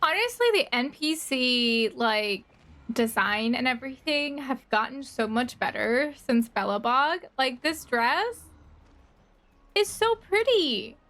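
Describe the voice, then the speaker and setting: A woman's voice: sits high, animated, slow, fairly bright tone. A woman streaming a game, reacting to it in casual chat.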